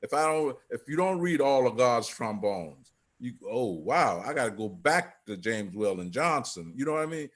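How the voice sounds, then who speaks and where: Older man speaking haltingly, informally. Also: medium pitch, expressive. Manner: halting, rambling delivery. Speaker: theatre company leader. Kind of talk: informal closing remarks. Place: group video call.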